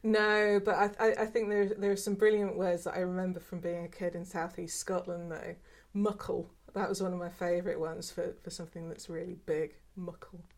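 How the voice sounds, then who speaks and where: Woman, fifties, mixed southern English accent, fairly low voice, hesitant, repeating words. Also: flat delivery, brisk, hardly any pauses, a little mellow. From England. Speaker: former archive worker. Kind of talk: oral history interview.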